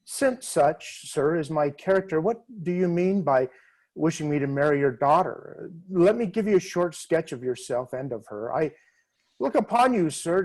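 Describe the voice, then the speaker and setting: Man voicing a conceited young beau, pompous and slow, lightly raised voice. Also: a little high, a little mellow, rough recording. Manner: pompous and haughty, lingering on words. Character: vain conceited young gentleman suitor. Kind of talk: dramatic reading over video call. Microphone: video call.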